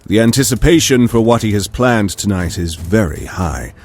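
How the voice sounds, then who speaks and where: A man's voice: lowish voice, animated, moderate pace, a little mellow, breathy edge. A man narrating an audio drama in a scripted, dramatic storytelling style. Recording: music behind the voice.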